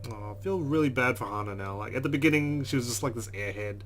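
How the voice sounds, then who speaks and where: A man reads a game's first-person story aloud in an earnest voice at a middle pitch, then drops into casual asides. A faint hum sits under his voice. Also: fast, a bit bright. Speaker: let's play host. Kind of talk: reading game narration aloud with casual comments.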